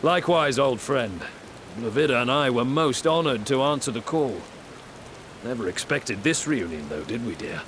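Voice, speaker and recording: An actor playing a middle-aged bereaved father in a video game, consoling and proud, with a gentle, earnest, steady manner. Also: mid-range voice, normal speed, noisy background, lightly acted. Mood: fond greeting. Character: warm, consoling, proud father mourning his daughter. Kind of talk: dramatic video game voice acting. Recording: rain and music behind. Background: rain, music.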